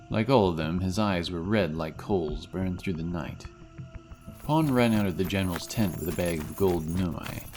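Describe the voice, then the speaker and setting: A man's voice: deep voice, a little mellow, poor audio. A man giving dramatic scripted narration of a fantasy story.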